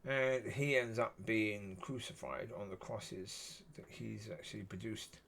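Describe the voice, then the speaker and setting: A man with a husky voice, talking alone in a rambling, musing way and often saying "yeah". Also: on the low side, unhurried, a bit bright. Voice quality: husky, gravelly. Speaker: solo video talker. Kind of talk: rambling solo monologue.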